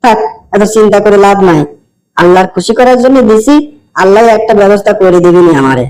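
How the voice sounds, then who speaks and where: Man acting high-voiced, devout young Bangladeshi village son. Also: quick pace, a little mellow, clean tone, natural voice, poor audio. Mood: worried. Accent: rural Bangladeshi. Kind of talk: amateur cartoon voice acting.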